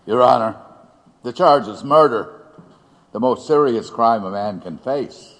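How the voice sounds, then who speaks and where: An actor playing an earnest, insistent defense attorney in a courtroom audio drama, formal and argumentative. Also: male voice, mid-range voice, measured pace, fairly bright tone. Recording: long hall reverb.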